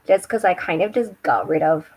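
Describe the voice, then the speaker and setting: A young woman with a slightly hoarse voice, chatting casually and rambling a little on a home live stream while doing her makeup. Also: lowish voice, lively intonation, moderate pace, a little mellow. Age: young adult. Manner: rambling, chatty, with restarts and self-corrections. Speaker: book and makeup channel host. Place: home room with bookshelves. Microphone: webcam.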